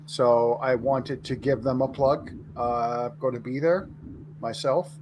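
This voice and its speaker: A man's voice: mid-range voice, fairly even intonation, slow pace. Man chatting over noticeable background noise. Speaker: panelist and conference organizer. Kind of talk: casual chat.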